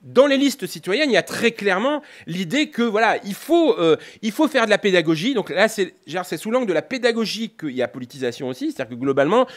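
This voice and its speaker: A man's voice: high-pitched, sing-song, fast talker, hardly any pauses. A man giving an analytical, semi-improvised academic lecture, often hesitating, breaking off and rephrasing as he explains. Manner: hesitant, repeatedly breaking off and rephrasing, punctuated with rhetorical tags. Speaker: political scientist, university lecturer.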